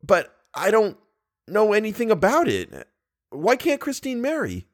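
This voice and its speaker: A man's voice: high voice, huge pitch swings, measured pace, pitched above the performer's own voice, heavily acted. A man playing a flustered young nobleman. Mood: choked. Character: troubled young nobleman, confused and earnest.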